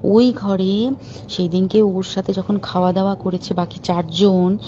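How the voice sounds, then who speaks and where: A woman's voice: medium pitch, fairly even intonation, measured pace, dark tone. A woman on a private phone call, talking informally and urgently with open indignation. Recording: muffled phone call recording with faint hiss.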